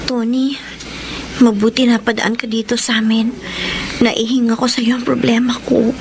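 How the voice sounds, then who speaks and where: A woman's voice: fairly high pitch, flat delivery, moderate pace, lightly acted. An actress playing a timid, anxious young woman troubled by doubts, in an earnest, melodramatic Filipino radio drama style. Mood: troubled, pleading.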